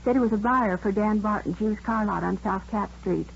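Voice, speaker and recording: A woman's voice: medium pitch, normal speed, creaky, close to an everyday voice. An actress playing a talkative, proper, aggrieved woman who runs a nursery school, in a 1950s radio drama. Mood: chatty, earnest. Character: indignant, chatty woman running a nursery school. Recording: muffled old radio, faint noise.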